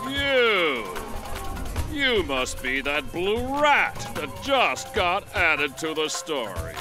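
An actor playing a menacing, arrogant evil djinn in a video game, with theatrical, drawn-out, gloating delivery. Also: male voice, on the high side, huge pitch swings, music in the background, exaggerated voice. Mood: scornful menace. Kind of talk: video game cutscene acting.

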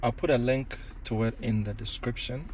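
A man's voice: mid-range voice, moderate pace, a little mellow, a little hiss or hum. A man giving a casual hands-on product demo as a tech reviewer, speaking inside a car.

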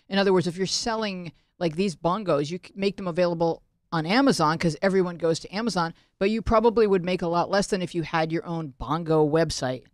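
A woman's voice: fairly low pitch, a little mellow, dry recording. A woman hosting a casual talk show into a close studio microphone. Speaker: host. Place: small indoor studio. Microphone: close studio microphone, headphones.

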